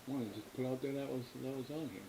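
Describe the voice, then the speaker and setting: An American man hosting a casual explanatory livestream, talking in a halting, rambling, folksy way. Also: medium pitch, steady tone. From New England, US. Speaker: livestream host, radio scanner hobbyist. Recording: hissy, clearly noisy background.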